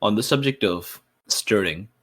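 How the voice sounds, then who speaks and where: A man's voice: lowish voice, lively intonation, unhurried, a bit bright. A man taking part in a tabletop roleplaying game, chatting casually and offering suggestions to the other players.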